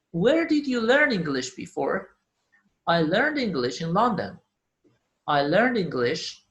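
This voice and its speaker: A man's voice: high voice, a bit bright. A man teaching an English class, repeating model phrases and example answers. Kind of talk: classroom lesson. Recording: roomy echo.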